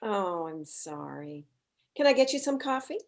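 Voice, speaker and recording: A woman's voice: mid-range voice, big pitch swings, measured pace. A woman playing a polite but blunt mother in her forties, in a comedy script read. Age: middle-aged.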